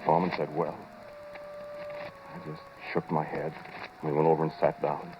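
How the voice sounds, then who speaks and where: An actor playing a haunted inventor who narrates a terrifying experiment in an old-time radio horror drama, halting and intense. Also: male voice, extremely low pitch, level tone, noisy background. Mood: grave, subdued. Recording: muffled old radio recording, music behind.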